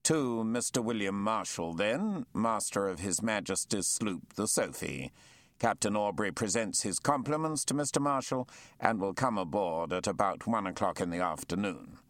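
A man voicing a hearty, confident naval captain who speaks briskly and genially. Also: fairly low pitch, animated, a bit bright, no echo.

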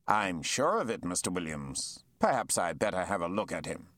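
A man voicing a hearty, confident naval captain who speaks briskly and genially. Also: deep voice, sing-song, a bit bright, no room sound, studio quality.

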